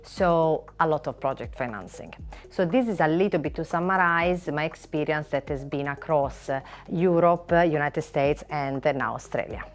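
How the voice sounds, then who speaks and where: A middle-aged woman from Italy with an Italian accent, a finance lawyer answering in a measured way, listing items with frequent pauses. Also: deep voice, faint music behind. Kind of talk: panel interview answer.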